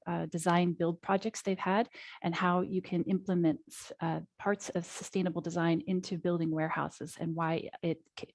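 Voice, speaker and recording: A woman giving a webinar update as a community group co-facilitator, halting with frequent fillers and restarts. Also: fairly low pitch, darkish tone, no room sound. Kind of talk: webinar presentation.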